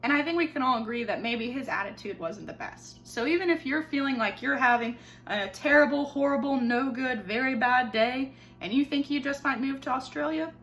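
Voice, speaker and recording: A young woman teacher reading a picture book aloud to her class from home, with expressive storybook delivery and dramatic pauses. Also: high-pitched. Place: home living room.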